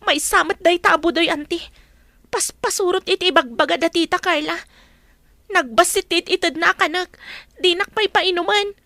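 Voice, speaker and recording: Woman acting a high, bright, wronged young woman. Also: moderate pace, dry recording, heavily acted. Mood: angry, aggrieved. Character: wronged younger woman, hurt and demanding justice. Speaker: actress in an audio drama. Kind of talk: Ilocano radio drama acting.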